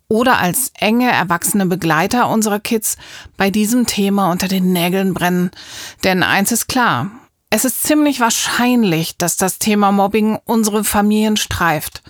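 A woman hosting a talk show, introducing guests and asking questions in a clear, scripted way. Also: medium pitch, normal speed, no echo, clean studio sound. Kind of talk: moderated interview.

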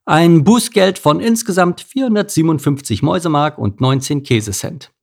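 A stern mouse police sergeant from a children's storybook, acted out by a male reader. He speaks in a gruff, bureaucratic way, listing things with pedantic care, with a gravelly edge. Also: fairly high pitch, moderate pace, voice pushed up. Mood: officious.

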